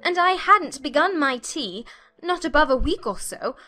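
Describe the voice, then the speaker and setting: A woman's voice: sits high, sing-song, normal speed, sharp tone, faint music behind, exaggerated voice. A woman voicing a nervous, eccentric hatter in a storybook, pleading in a trembling voice.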